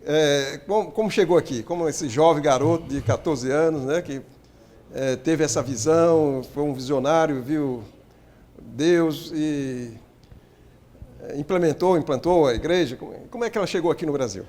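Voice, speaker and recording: Brazilian guy hosting a tv interview, kinda high voice, stumbles and restarts his questions a lot. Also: darkish tone. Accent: Brazilian Portuguese. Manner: halting questions with many restarts. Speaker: TV talk show host. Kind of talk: TV studio interview.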